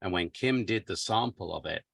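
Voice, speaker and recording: A man with an English accent, an author guest chatting casually on a podcast, relaxed and laughing easily. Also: deep voice, normal speed, gravelly, slightly rough, dry recording, studio quality. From England.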